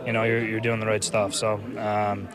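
A man's voice: fairly low pitch, moderate pace, over a noisy crowd. A young man, a baseball player, giving a humble postgame interview in the clubhouse, full of fillers. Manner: humble, flat, filler-heavy. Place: team clubhouse. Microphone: handheld reporters' microphones.

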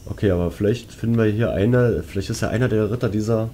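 A man's voice: fairly low pitch, fast talker, dark tone, a little hiss or hum. A man giving casual gameplay commentary in speech, musing aloud as he works through puzzles. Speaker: let's player. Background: game music.

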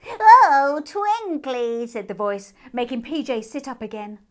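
A woman's voice: a little high, moderate pace. A woman with a British accent reading storybook narration aloud expressively for children.

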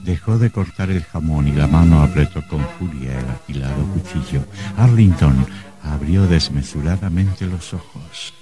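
An actor playing a grave, ominous storyteller with a deep, rough voice, narrating a scripted radio drama. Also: male voice, moderate pace, over music.

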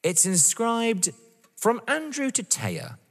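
A man's voice: very high voice, huge pitch swings, takes it slowly, faint music behind, full character voice. Actor as a taunting young man, wildly swooping voice. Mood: gloating. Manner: mocking, theatrical, savouring each word. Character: taunting, vengeful young man playing a deadly game. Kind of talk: audio drama acting. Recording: reverberant hall-like room.

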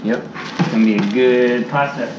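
A man's voice: mid-range voice, moderate pace, background noise. A man helping his partner cook at home, coaching and teasing her in casual chat. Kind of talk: casual cooking vlog chat. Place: home kitchen, indoors. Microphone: camera across the kitchen, away from the mic. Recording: distant, echoey, dull kitchen audio with music.

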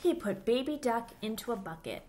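A woman in her thirties reading a children's picture book aloud, with simple, deliberate phrasing for young listeners. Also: a little high, lively intonation, dark tone. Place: small plain-walled room indoors.

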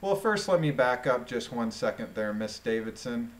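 A man reading a court transcript aloud as questions and answers, deliberately, with informal delivery as a commentator. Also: medium pitch, animated.

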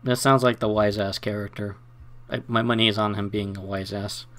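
A man's voice: lowish voice, steady tone, normal speed, a bit bright. A man hosting a let's play, reading game narration aloud and commenting casually.

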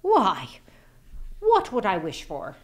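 A woman's voice: mid-range voice, huge pitch swings, slow pace. A woman voicing a lazy, self-pitying Irish farmer husband in a storybook read-aloud, whining and dramatic. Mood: insistent.

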